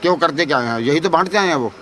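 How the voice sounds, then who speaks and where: A man's voice: a little high, animated, racing, a bit bright. A man giving opinionated street interview answers outdoors, emphatic and repetitive, full of rhetorical questions. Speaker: member of the public being interviewed. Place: outdoors in a city market. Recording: faint outdoor street noise.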